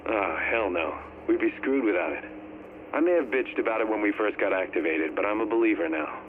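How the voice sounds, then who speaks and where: Game role: male actor as plainspoken veteran agent, lowish voice, emphatic casual talk, narrow-band recorded log. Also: expressive, fairly quick, natural voice. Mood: frank. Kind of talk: casual conversational acting in a video game audio log. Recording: tinny, slightly noisy recorded audio log.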